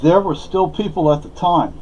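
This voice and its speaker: A man's voice: sits high, normal speed, darkish tone, lo-fi sound, slight background noise. A man from Spain, a native Spanish speaker, giving a rambling, opinionated monologue with many fillers and restarts. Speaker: vlogger.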